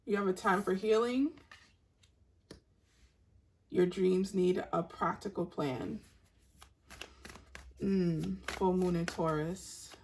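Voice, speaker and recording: A woman giving a tarot reading to her online audience in a soft, meandering, spiritual way, with a raspy voice. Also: lowish voice, steady tone, a little hiss or hum, rough recording. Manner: soft-spoken and meandering, unhurried spiritual talk. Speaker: tarot reader.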